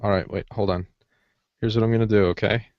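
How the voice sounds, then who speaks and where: A man's voice: fairly low pitch, fairly even intonation, fast, dry recording. A man co-hosting a podcast in informal, easygoing, casual conversation. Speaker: podcast co-host. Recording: slightly dull, lo-fi audio.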